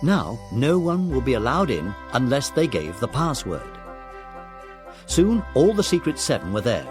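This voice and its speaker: A male narrator tells a children's audiobook story in a lively, varied voice of medium pitch. Music plays behind him as he reads from the script. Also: unhurried.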